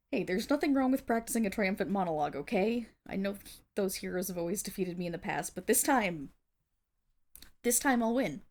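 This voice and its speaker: A woman's voice: mid-range voice, natural voice. A woman playing a theatrical but bashful, lovestruck female supervillain in an ASMR roleplay audio drama. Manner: theatrical villain declaiming that flips into flustered, affectionate chatter.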